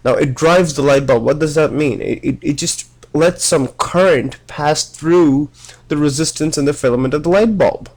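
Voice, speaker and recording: Guy with a cold explaining circuits, gravelly voice, keeps going "right?" and restarting. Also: a little high, normal speed, darkish tone. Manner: conversational, frequent "right?" checks and restarts. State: has a cold. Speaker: electronics lecturer. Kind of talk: casual whiteboard lecture.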